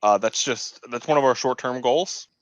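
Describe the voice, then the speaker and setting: A man's voice: mid-range voice, moderate pace, no echo, dull audio. A man co-hosting a podcast, giving his opinions in casual chat. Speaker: podcast co-host.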